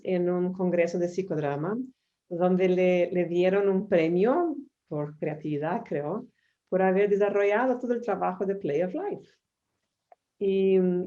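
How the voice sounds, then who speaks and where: A woman's voice: fairly low pitch, warm tone. Woman interviewer on dull video call. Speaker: interviewer, host. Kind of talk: interview questions.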